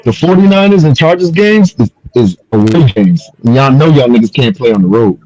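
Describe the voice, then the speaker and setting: A man's voice: medium pitch, animated, brisk, a little mellow. A man hosting a sports chat show, talking in a middle register with a dry, close sound and no room echo. He needles his guests and repeats his point until it sticks. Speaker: podcast host. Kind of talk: casual sports debate.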